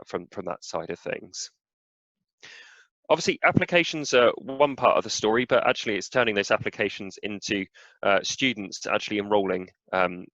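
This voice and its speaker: A man with a cold, hoarse as he presents a slide update to a webinar audience, with many "uh"s and "um"s. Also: lowish voice, fairly bright tone, no room sound, highs cut off. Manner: filler-heavy, frequent "uh" and "um". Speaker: senior relationship manager giving an update.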